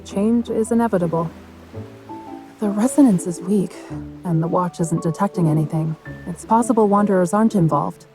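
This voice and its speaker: A young woman voice-acting a capable, skeptical bodyguard heroine in a video game cutscene, practical and quick to retort. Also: medium pitch, close to an everyday voice. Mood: focused. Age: young adult. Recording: music behind the voice.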